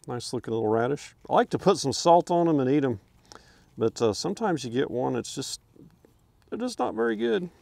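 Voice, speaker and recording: Easygoing older man with a gravelly voice. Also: medium pitch, expressive, a little fast, a little mellow, dry recording. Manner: easygoing, rambling, with many fillers and chuckles. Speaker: homesteader showing his garden. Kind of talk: casual garden walkthrough. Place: outdoors in a backyard garden. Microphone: handheld camera, speaking from behind it.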